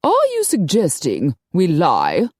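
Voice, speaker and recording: Woman reader as haughty vampire princess, archaic, commanding, mellow, close dry audiobook sound. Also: fairly low pitch, wildly swooping pitch, takes it slowly, no room sound, full character voice. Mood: haughty concern.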